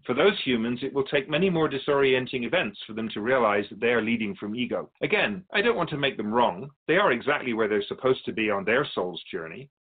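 Male actor as ancient spirit guide, gently didactic, measured phrasing, expressive pitch swings, philosophical radio drama. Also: breathy edge, phone-quality sound. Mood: gentle, instructive. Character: otherworldly spirit keeper of souls, calm, wise, gently instructive. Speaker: interviewed guest in a radio drama.

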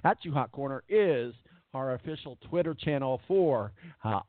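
A man hosting a sports radio show in casual chat, rambling with fillers and repeated words, with a voice that is going hoarse. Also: mid-range voice, lively intonation, moderate pace, no room sound. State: losing his voice. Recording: tinny phone-line quality, dry.

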